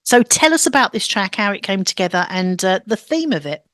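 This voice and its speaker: A woman hosts a radio interview, asking her guests questions in a friendly, upbeat way. Her voice sits in a medium pitch, and the sound is close and dry. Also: expressive, a little fast, fairly bright tone, no echo. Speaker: radio show host.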